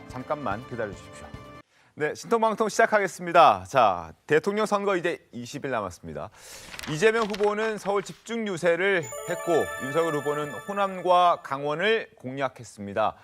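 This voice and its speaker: Animated male TV news host, higher-pitched voice. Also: a little fast, music in the background. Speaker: news talk show host. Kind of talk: broadcast news presenting. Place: TV studio.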